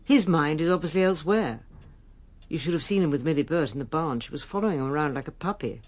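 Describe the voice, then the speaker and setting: An actress playing a calm, perceptive older sister in a radio drama, measured, knowing and gently protective. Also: female voice, deep voice. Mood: composed, concerned.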